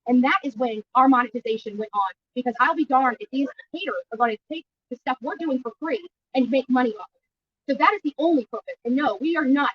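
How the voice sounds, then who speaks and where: A high-voiced woman talks rapidly and insistently, like an activist answering the press. She is indignant and leans on repeated phrases to drive her point home. The audio is muffled and lo-fi. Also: breathy edge, distant mic. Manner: emphatic, indignant, repetitive phrasing. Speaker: YouTube livestreamer and missing-person activist being interviewed. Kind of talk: impromptu press interview. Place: at a county jail, press interview.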